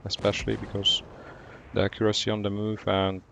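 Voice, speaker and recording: A man from Poland with a Polish accent, streaming a game and chatting with viewers in a relaxed way, often pausing to think. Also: lowish voice, creaky, slight background noise. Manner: relaxed, thinking aloud with fillers. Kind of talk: gameplay commentary and chat replies.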